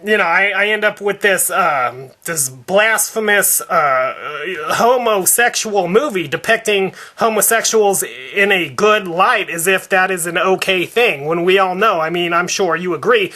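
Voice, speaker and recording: A man acts out an indignant, devout father in a prank phone complaint. His voice sits high with a bright, sharp edge. He stammers, stalls and circles back over his grievances. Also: lively intonation, full character voice. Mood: indignant, insistent. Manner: halting, stammering, repetitive. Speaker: prank caller.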